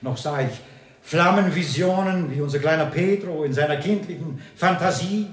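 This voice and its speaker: Guy doing a devout chatty man in a radio drama, kinda high voice, echoey room. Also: moderate pace, natural voice. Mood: animated, amused. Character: excitable, pious gossip, amused and admiring.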